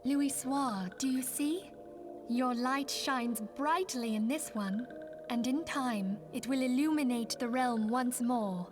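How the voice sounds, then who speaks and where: A woman voicing a gentle, dignified high priestess in a fantasy game, composed, earnest and formal. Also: takes it slowly. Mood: warm, hopeful. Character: gentle, dignified high priestess, brave leader of a secret order. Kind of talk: scripted fantasy game dialogue. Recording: game music behind the voice. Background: orchestral game music.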